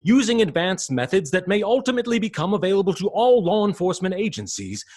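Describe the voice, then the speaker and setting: Man as husky, animated radio mystery narrator. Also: high-pitched, fast. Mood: portentous. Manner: portentous, emphatic. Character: dramatic male narrator of vintage mystery serial. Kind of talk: old-time radio scripted narration.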